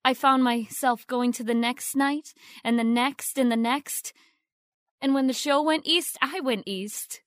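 An actress playing a humble young theater fan in a radio drama, speaking in an earnest, deferential, hesitant way. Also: female voice, high-pitched, unhurried, fairly bright tone, no echo, studio quality. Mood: earnest, wistful.

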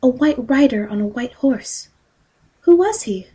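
A woman's voice: high-pitched, animated, measured pace, mellow, husky, exaggerated voice. A woman playing a timid, dutiful young girl in a fairy-tale audio drama, meek and polite.

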